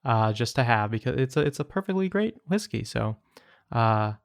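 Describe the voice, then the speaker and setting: A man co-hosting a podcast, telling stories in a casual, halting conversational way. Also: mid-range voice, lively intonation, creaky, no echo, studio quality. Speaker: podcast co-host. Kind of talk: casual chat.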